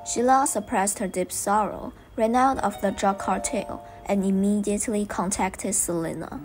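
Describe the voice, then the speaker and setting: Young female narrator, mid-pitched, scripted student radio drama, clear music behind. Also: steady tone, normal speed, a little mellow. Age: young adult.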